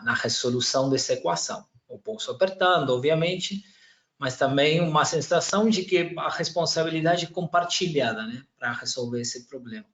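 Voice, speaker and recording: Argentine man, mid pitch, chatty panel moderator on a video call, echoey room. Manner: chatty, loose, many fillers. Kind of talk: hosting a live online panel.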